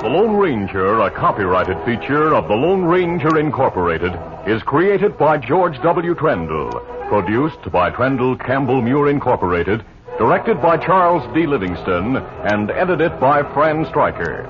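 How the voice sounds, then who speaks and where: Animated 1950s radio announcer, a man. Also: mid-range voice, a little slow, music in the background. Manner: emphatic, formal salesmanlike delivery. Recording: dull 1950s radio recording.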